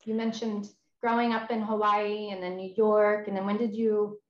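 A woman interviewing an author over a video call, enthusiastic and agreeable, often answering with a quick "yeah". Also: medium pitch, flat delivery. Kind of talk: casual video-call conversation. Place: home room with red walls and shelves. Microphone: video call webcam. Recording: roomy, dull webcam sound.

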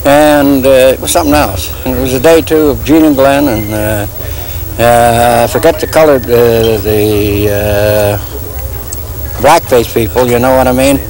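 A man in his sixties chatting and reminiscing, pausing to search for words. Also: medium pitch, steady tone, a little mellow, poor audio. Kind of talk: casual chat, reminiscing. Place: outdoor parking lot swap meet. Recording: outdoor noise, passing vehicles.

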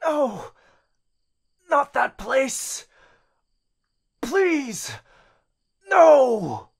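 Casting: male performer as desperate, obsessive shadow villain, shrill and loud, strained, wildly swooping delivery. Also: very animated, very slow, creaky, husky, full character voice. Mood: panicked pleading. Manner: strained, shrieking, pleading. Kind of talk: yandere ASMR roleplay acting.

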